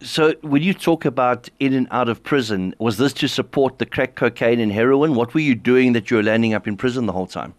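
A male radio host with an ordinary middle-pitched voice. He reads a scripted introduction smoothly, then asks his guest direct, probing questions. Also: a little fast, few pauses. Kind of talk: radio interview, reading an introduction.

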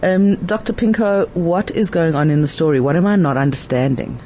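Higher-voiced male interview host. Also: normal speed, dark tone. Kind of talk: conversational news interview. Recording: faint background noise.

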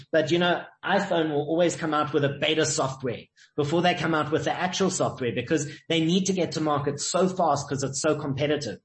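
A man talking as an interview guest, confident and emphatic, driving points home with sayings and stories. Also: fairly high pitch. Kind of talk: motivational interview talk. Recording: echoey room.

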